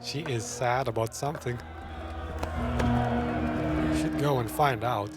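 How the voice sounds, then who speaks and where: A man's voice: medium pitch, slow, loud music behind the voice, a loud crowd. A man streaming a game with casual live commentary, chatty and joking as he thinks aloud.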